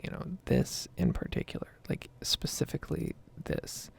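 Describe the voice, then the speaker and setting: A young American woman in her twenties giving a rambling, casually sweary ASMR gameplay commentary close to the microphone. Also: moderate pace. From United States. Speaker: gaming video creator.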